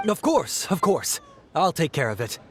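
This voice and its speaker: An actor playing a kindly, gently firm innkeeper in a video game, speaking in a measured, polite, reassuring way. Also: male voice, a little high, sing-song, a little hiss or hum. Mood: helpful. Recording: music playing behind the voice.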